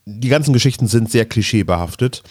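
A man's voice: mid-range voice, lively intonation, fairly quick, darkish tone, husky, no room sound, clean studio sound. A man co-hosting a podcast in casual conversation, often searching for words as he explains. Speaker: podcast co-host. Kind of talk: casual podcast chat about fantasy audio dramas.

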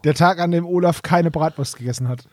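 A man's voice: a little high, fairly quick, darkish tone, no echo, studio quality. A man co-hosting a podcast in casual chat, with playful, slangy interjections. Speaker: podcast co-host.

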